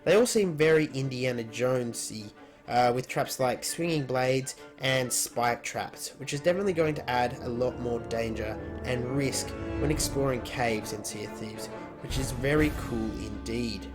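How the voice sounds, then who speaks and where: A man's voice: mid-range voice, normal speed, over music. A man hosting a gaming news video, narrating in an informal scripted style.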